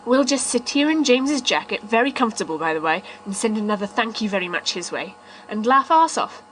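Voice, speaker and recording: A woman with a fairly high voice reads a letter aloud in a dry, clipped way. Faint noise hums behind her. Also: expressive, a little fast, a bit bright, low-quality recording. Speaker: podfic reader. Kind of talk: reading a fan story letter aloud.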